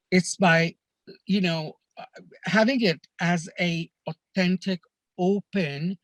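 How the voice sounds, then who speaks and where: A man from Kenya with a fairly high voice tells his stories as a podcast guest. He is earnest and measured and leans on small fillers as he thinks. The sound is close and dry, with no room around it. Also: dry recording. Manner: earnest, measured, with frequent "uh" and "you know" fillers. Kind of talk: reflective storytelling in a conversation.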